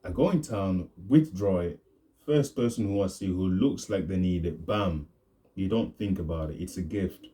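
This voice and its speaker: Young guy vlogging, very low, mellow, intentionally deepened voice, relaxed rambling chat. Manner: deliberately deepened, laid-back voice. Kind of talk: rambling casual monologue.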